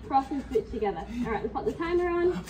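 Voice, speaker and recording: A woman with a high, mellow voice coaches kickboxing drills with brisk cues and calls the time. She is a little sniffly from a cold. Her voice comes from a camera across a home workout room, with faint noise behind it. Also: animated, recorded from a distance. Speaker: fitness instructor. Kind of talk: live kickboxing class instruction. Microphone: far camera.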